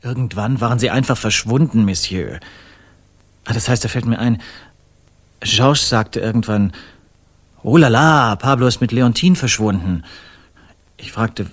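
An actor in a radio drama playing a polite, uneasy bohemian man of the world, speaking in a courteous, measured, conversational way. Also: medium pitch, natural voice. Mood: recounting.

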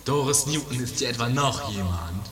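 A man's voice: medium pitch, animated, moderate pace, a crowd in the background, lightly acted. A man playing a stern, menacing guard in an improvised comedy radio play. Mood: officious, menacing. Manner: officious, menacing. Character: stern, officious guard arresting intruders. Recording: loud music over amateur tape.

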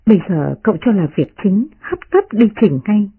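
A woman voicing a gentle young servant girl who speaks politely and coaxingly. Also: medium pitch, animated, normal speed, slightly rough, dry recording, pitched above the performer's own voice. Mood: pleading. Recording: muffled radio broadcast.

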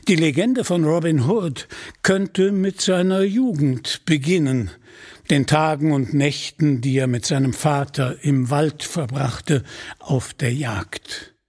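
A man narrating a scripted radio play in a measured, deliberate storytelling manner, with a husky voice. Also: fairly high pitch.

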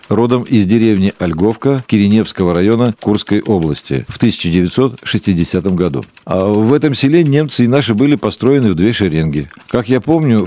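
A man reading documentary passages in a radio drama in a measured, matter-of-fact way. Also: lowish voice, dark tone. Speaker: narrator.

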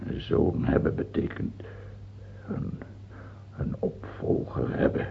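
A man's voice: takes it slowly, slight background noise, natural voice. An actor playing a scheming, imperious aging French king in a radio play, theatrical, moving between murmured musing and scornful outbursts. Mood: bitter musing. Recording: dull, muffled old radio recording.

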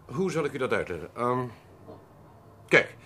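An actor playing a pompous, prickly accountant with sinister plans in a radio drama, precise and theatrically formal, in a husky voice. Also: male voice, mid-range voice, big pitch swings, measured pace, background noise. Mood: explaining, self-assured. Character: pompous, prickly accountant coolly proposing a perfect murder. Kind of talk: Dutch radio drama acting.

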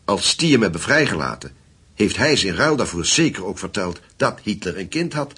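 A grave old-war comrade in a radio play. The voice is very deep and heavily husky, with weighty, measured phrases that probe and confide. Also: male voice, sing-song, pushed and bright, full character voice. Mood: grave, pressing. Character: grave German war veteran, the widow's confidant. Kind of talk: radio drama acting.

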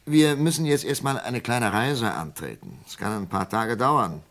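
An actor playing a confident, commanding FBI captain in an old radio crime drama. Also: male voice, medium pitch, lively intonation, vocal fry, fairly bright tone. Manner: commanding, brisk. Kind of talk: vintage radio drama acting.

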